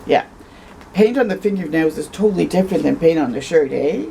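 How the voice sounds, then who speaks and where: A woman reading a picture book aloud to children as a storytime host, expressive, with conversational asides. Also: deep voice, fairly quick, vocal fry, slight background noise, poor audio. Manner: conversational asides, tags questions with "eh".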